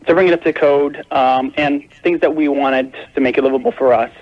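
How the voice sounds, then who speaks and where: A man's voice: medium pitch, fairly quick, no room sound. A man calling a radio show, explaining his problem in a careful, wordy, hesitant conversational way. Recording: dull lo-fi call on radio tape.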